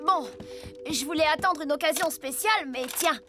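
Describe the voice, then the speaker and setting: A woman's voice: high-pitched, normal speed, lightly acted. A woman voicing a responsible, easily exasperated young boy in a French-dubbed cartoon. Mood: reasoning. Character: ten-ish schoolboy, responsible, exasperated, bossy. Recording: music behind the voice.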